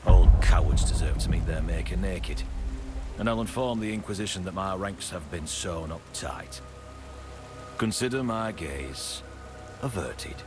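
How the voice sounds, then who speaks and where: An actor playing a haughty, sardonic guard commander in a video game, deliberate and menacing. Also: male voice, low voice, lively intonation, over music. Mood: scornful. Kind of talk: video game character acting. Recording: clearly noisy, rainy ambience.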